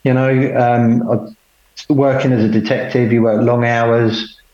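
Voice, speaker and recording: A middle-aged man, talking as a podcast guest from his home over a call, unhurried, plain-spoken and self-deprecating. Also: medium pitch, flat delivery, darkish tone. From England. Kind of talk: casual conversation, telling his life story. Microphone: remote call. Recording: roomy, dull remote call audio.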